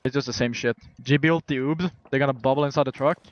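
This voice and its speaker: A man's voice: medium pitch, fairly even intonation, quick pace, highs cut off. A man calling strategy to his esports team over voice chat in urgent, repeated callouts with casual swearing. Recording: game gunfire and vehicle noise behind. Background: shooter game gunfire and effects.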